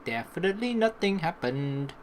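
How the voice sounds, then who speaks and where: A woman's voice: fairly low pitch, animated, moderate pace, heavy background noise. A young woman hosting a let's play, teasing and slangy as she mixes casual commentary with reading the game's narration aloud. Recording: faint game music behind the voice.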